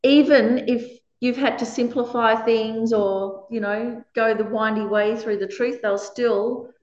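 A woman with a loud voice, giving a frank Buddhist dharma talk to an audience and laughing often between points. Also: fairly high pitch, steady tone, a little slow. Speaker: Buddhist teacher. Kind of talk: dharma talk answering questions. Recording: roomy, slightly dull recording.

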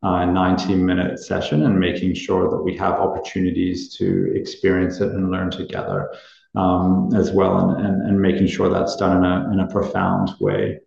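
A man's voice: deep voice, animated, moderate pace, creaky, a little mellow, heavy reverb, dull audio. A man who grew up in Australia and Nepal, introducing a meditation course to a live class, hesitant with many ums and restarts.

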